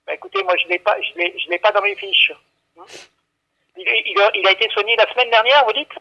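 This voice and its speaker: Male clinic employee, high-pitched for a man, muffled phone sound; halting, repetitive, patient explanations in unscripted conversation. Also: very animated, vocal fry, pushed and bright. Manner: hesitant, stammering, repeating himself, patiently explaining. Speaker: veterinary clinic staff taking a call. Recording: dull, lo-fi phone line.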